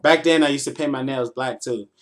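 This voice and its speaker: A 24-year-old American man telling stories casually as a livestreamer, in a slangy, playful, animated way. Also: mid-range voice, brisk. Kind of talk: casual, informal storytelling.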